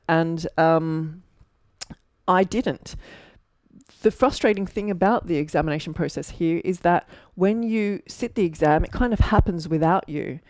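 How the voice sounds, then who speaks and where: Chatty Australian woman, low-ish voice. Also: vocal fry, a little mellow, no echo, studio quality. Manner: chatty, with fillers and self-corrections. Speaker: architect and mentor. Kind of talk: casual storytelling to camera.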